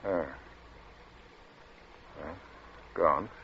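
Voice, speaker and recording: An actor playing a timid, flustered gentleman in an old radio thriller, talking in hesitant, mumbled fragments as though cornered. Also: low voice, heavily acted. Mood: alarmed. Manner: halting, mumbling, stammering hesitancy. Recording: muffled, noisy vintage radio recording.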